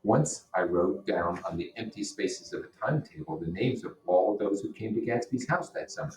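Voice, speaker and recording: Male teacher, deep voice, reads literature aloud with explanatory asides; roomy sound, mic at a distance. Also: animated, moderate pace, a little mellow, a little hiss or hum. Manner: explanatory, puts rhetorical questions to listeners. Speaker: teacher reading a novel aloud. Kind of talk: read-aloud with explanatory comments. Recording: roomy echo, distant microphone.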